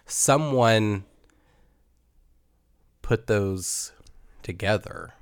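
A podcast co-host talking in a halting, informal, sweary way in casual conversation. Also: mid-range voice, clear, resonant tone, no echo, clean studio sound.

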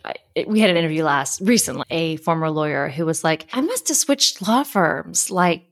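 A woman's voice: low voice, animated, moderate pace. A woman hosting a podcast, reading an upbeat intro and then interviewing a guest in a chatty, friendly way. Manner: upbeat, chatty, laughs often. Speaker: podcast host.

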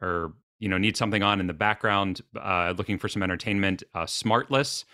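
A man's voice: sits low, fairly quick, sharp tone, dry recording, clean studio sound. A man talking casually as a guest on a podcast. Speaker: podcast guest and quiz contestant. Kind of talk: casual chat.

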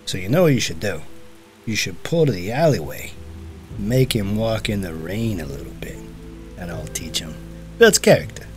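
An actor playing a cynical, wisecracking senior police officer in a noir audio drama, with a husky voice and teasing swagger. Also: male voice, lowish voice, very animated, moderate pace, a little mellow, soft background music. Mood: sly.

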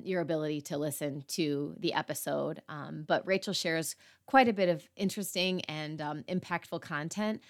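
A woman hosting a parenting podcast, slightly hoarse, talking earnestly with fillers. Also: deep voice. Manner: earnest, apologetic asides with fillers. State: slightly hoarse after illness. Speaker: podcast host. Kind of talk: podcast intro and interview.

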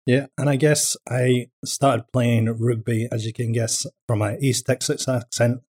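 A man's voice: medium pitch, fairly even intonation, a little slow, a little mellow, husky. A man, a guest co-owning a florist business, speaking in casual interview chat with dry, understated humor.

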